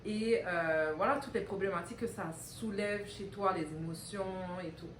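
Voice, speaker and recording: A woman's voice: medium pitch, normal speed, a little hiss or hum. A woman with a Québécois accent co-hosting a casual talk, asking probing direct questions and mixing English into her French. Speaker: co-host. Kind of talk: casual chat. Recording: echoey room, voice a bit far from mic.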